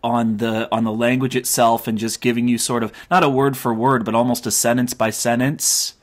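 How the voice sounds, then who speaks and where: A man in his thirties asks questions as a podcast host. His voice sits at an average pitch and stays level, with little melody, in a casual conversational style. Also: flat delivery, moderate pace. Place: indoor room at home, video call.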